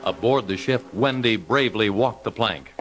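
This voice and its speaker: A man narrating a children's storybook from a script, with dramatic, measured delivery. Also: on the low side. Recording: loud music under the voice.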